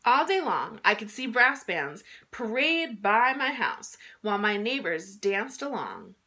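Bright, high-voiced woman reading aloud expressively. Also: unhurried. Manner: expressive, emphatic delivery for children. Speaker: children's book reader. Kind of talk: read-aloud storytelling from a picture book. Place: small indoor room at home.

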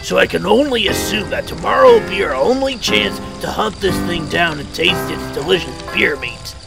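A man's voice: high-pitched, wildly swooping pitch, normal speed, creaky, exaggerated voice. A man playing an eager, protective monster hunter in an amateur audio drama, serving as a princess's regent. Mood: reassuring. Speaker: voice actor. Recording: music over the voice.

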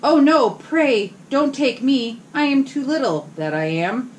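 Timid little billy goat begging to be spared, high and small, read by a woman. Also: sing-song, measured pace, a little hiss or hum, poor audio. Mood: pleading. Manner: reading a bedtime story aloud.

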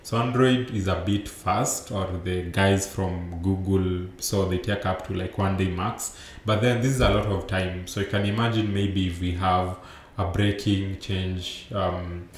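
A young man giving a remote conference talk over slides, explaining in a loose way with many fillers and restarts, recorded through a laptop mic on a video call. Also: low voice. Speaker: conference speaker. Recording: roomy echo with faint background noise.

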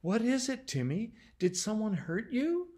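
Children's story big sister, acted by a man: young, high, expressive, protective, earnest and upset. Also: unhurried, heavily acted. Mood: gentle concern. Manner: earnest, distressed. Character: worried, protective young girl, an older sister.

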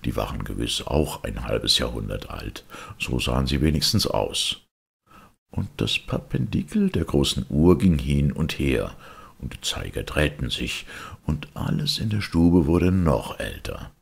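Extremely deep, gravelly male storyteller. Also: animated, a little slow. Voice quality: gravelly, husky. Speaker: storyteller, narrator. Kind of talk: scripted fairy-tale narration.

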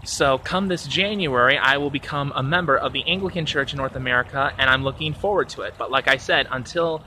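Bright-voiced man in his thirties for a casual outdoor vlog, earnest and rambling, phone held at arm's length, faint traffic behind. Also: medium pitch, fairly quick, low-quality recording. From Florida. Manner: rambling, earnest, self-correcting. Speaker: vlogger. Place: outdoors by a church on a sunny day. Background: faint traffic and outdoor ambience.

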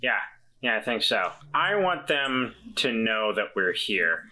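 Bright-voiced man, very animated. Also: fairly low pitch, slow, low-quality recording. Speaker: player in a tabletop game. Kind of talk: casual table talk.

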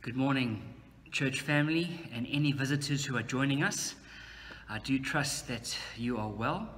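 A middle-aged pastor delivers a sermon to camera. His voice is mid-pitched and quite bright and forceful, with a long reverberant tail from the room. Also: normal speed, a little hiss or hum. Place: indoor room.

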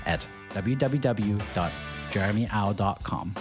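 A man's voice: fairly low pitch, unhurried, music in the background. A male podcast host reading his show introduction, then asking questions with enthusiasm. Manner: enthusiastic, warm praise of guest. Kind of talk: scripted intro and interview questions.

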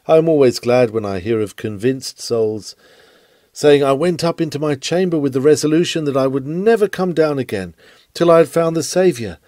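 A man's voice: fairly high pitch, moderate pace, warm tone, no room sound, studio quality. A man reading an old sermon aloud in an earnest preaching delivery, with dramatic swells of emphasis. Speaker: reader of a historical sermon.

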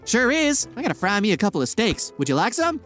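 A man's voice: high-pitched, big pitch swings, fast, a bit bright, faint music behind. A man voicing a bossy, pompous know-it-all scientist sidekick in a kids' gaming cartoon. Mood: grumbling. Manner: pompous, dramatic.

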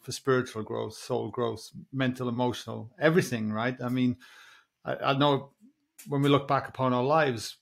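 A man's voice: medium pitch, fairly even intonation, moderate pace, creaky. Welsh man chatting on a video call. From Wales. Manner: friendly, rambling, says "mate" often. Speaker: podcast host. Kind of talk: casual video-call chat. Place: small room at home. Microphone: earphone headset on a video call.

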